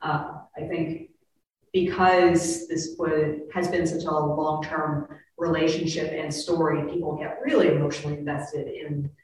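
A speaker on a panel praising the guests' care, in a measured way with frequent fillers. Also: mid-range voice, level tone, a little hiss or hum. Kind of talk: panel discussion. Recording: echoey room, far from mic.

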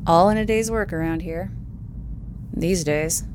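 A woman's voice: on the low side, unhurried, noisy background, lightly acted. An actress playing a wry, efficient and loyal female colleague in naturalistic audio drama dialogue. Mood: dry, brisk.

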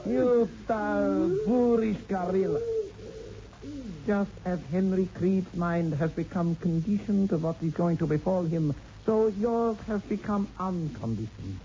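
An actor playing an imperious, scornful occult mastermind, pompous and formal, in an old-time radio horror play. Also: male voice, high voice, unhurried, mellow. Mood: scornful, stern. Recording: muffled, hissy old radio recording.

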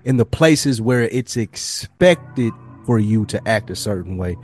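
A man's voice: lowish voice, lively intonation, a little slow, a little mellow, soft background music. A man co-hosting a podcast in casual, slangy talk, repeating phrases to make his point. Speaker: podcast host. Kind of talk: casual chat.

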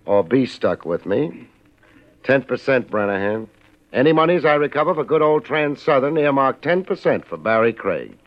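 A man's voice: medium pitch, very animated, normal speed, natural voice. A man playing a wry, laid-back, hard-boiled private investigator in a 1950s radio detective drama, talking in clipped, sardonic slang. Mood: wry, brisk. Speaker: lead actor and narrator of a detective series. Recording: muffled vintage 1950s radio recording with music.

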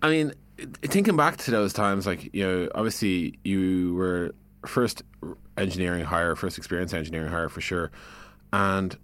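A man's voice: deep voice, normal speed, a bit bright. A man hosting a podcast interview in a casual, joking, conversational way. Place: podcast studio.

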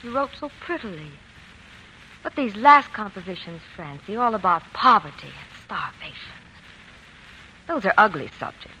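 A prim, genteel schoolteacher with a bright, clear-cut voice of medium pitch. She speaks properly and instructively in a 1940s radio play, over faint noise. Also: lively intonation, normal speed, rough recording. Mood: disapproving, shocked. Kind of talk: 1940s radio drama acting.

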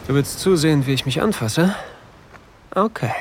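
An actor playing a possessive new lover in an intimate erotic audio drama, murmuring breathily and often whispering close to the microphone. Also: male voice, a little high, expressive, normal speed, slight background noise, lightly acted. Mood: tender, seductive. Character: possessive, seductive new lover, adult man, on a sailboat. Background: faint sea ambience.

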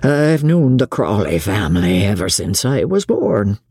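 A woman's voice: extremely low pitch, big pitch swings, a little slow, mellow, slightly rough, no echo, clean studio sound, pitched below the performer's own voice, exaggerated voice. Woman acting a woeful English tradesman. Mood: aggrieved, lamenting. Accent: English, working-class. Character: woeful, aggrieved, honest tradesman and creditor, adult man.

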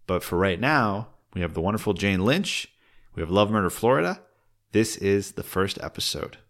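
A man's voice: fairly low pitch, animated, moderate pace, a bit bright. A man hosting a podcast, introducing a show in a casual, informal and enthusiastic way.

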